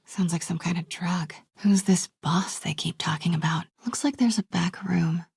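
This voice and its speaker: A woman voicing a plucky, curious young American reporter in a video game, with a breathy voice and a natural, wry delivery. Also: on the low side, moderate pace, no room sound, heavily acted. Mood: hushed, curious. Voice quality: breathy, often hushed.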